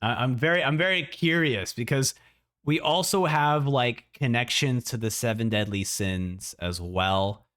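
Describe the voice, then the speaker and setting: A man in a casual livestream reaction, talking excitably and often repeating words as he thinks out loud. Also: mid-range voice, unhurried. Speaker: streamer reacting to a video.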